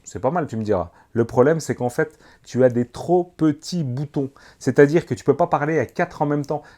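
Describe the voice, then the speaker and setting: A man's voice: a little high, normal speed, mellow. A man gives a casual, slangy gear review, speaking to the viewer as to a friend. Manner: informal, slangy, addresses the viewer familiarly. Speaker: motorcycle vlogger reviewing gear. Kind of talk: casual product review to camera.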